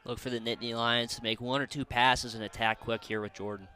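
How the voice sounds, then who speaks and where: A man's voice: medium pitch, level tone, brisk, pushed and bright. A man giving live sports analysis as a color commentator.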